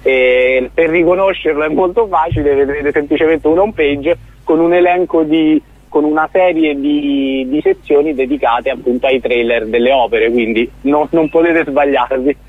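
A man's voice: on the high side, normal speed, few pauses, no room sound. A man, a startup founder, explaining his project earnestly as a guest in a radio interview. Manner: explanatory, earnest, with frequent restarts. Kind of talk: radio interview over the phone. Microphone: phone. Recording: tinny dry phone line.

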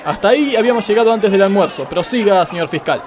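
A man's voice: high voice, expressive, quick pace. An Argentine man playing a pompous, exasperated, self-pitying judge in a comic radio play, declaiming melodramatically. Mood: stern, exasperated. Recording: crowd noise behind the voice.

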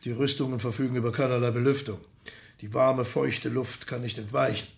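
A man playing a precise, didactic arms expert in a radio drama. His mid-pitched voice has plenty of creak. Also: fairly even intonation, a little fast, close to an everyday voice. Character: matter-of-fact male expert on medieval arms and armour. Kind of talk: expert explanation in a radio play.

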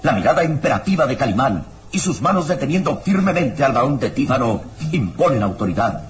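A man's voice: medium pitch, big pitch swings, brisk, a little hiss or hum. A man narrating a radio serial in a solemn, suspenseful manner. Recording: old radio serial with music. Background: dramatic music.

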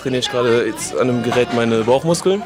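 A man's voice: medium pitch, fast. A man talking casually and hesitantly about himself while in a gym. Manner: hesitant, informal. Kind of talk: casual spontaneous self-introduction and commentary. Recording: music behind the voice.

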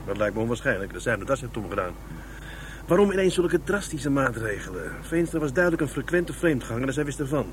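An actor with a Netherlands Dutch accent playing a down-to-earth, opinionated police detective in a radio drama, matter-of-fact and colloquial. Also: male voice, mid-range voice, sing-song, fast, slight background noise, lightly acted. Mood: speculating.

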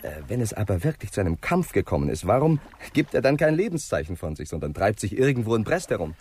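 An actor playing an earnest, deferential junior police inspector in a radio crime drama, speaking haltingly and tentatively. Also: male voice, lowish voice, sing-song, a little mellow, breathy edge. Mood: puzzled, earnest. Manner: halting, tentative, puzzling things out. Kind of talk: 1960s German radio crime drama acting.

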